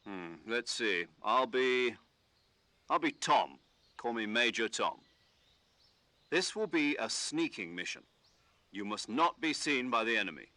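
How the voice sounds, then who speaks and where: A man voicing a calm commanding officer who gives instructions over the radio in a game. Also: mid-range voice, dry recording. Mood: steady. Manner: measured, instructive briefing tone. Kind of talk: game dialogue.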